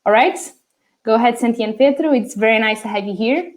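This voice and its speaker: A woman's voice: fairly high pitch, a little fast. A woman hosting a live online webinar, chatty, greeting viewers and reading out messages from the chat. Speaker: webinar host.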